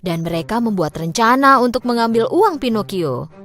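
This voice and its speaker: Lively high-voiced woman storyteller. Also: normal speed. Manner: expressive storytelling delivery. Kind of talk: scripted children's fairy tale narration. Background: music.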